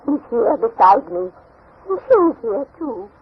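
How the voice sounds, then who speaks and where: A woman's voice: a little high, big pitch swings, takes it slowly, breathy edge, heavily acted. An actress playing a tender, remorseful old grandmother in an earnest, pleading 1940s radio drama style. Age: older. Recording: muffled, noisy 1940s radio recording.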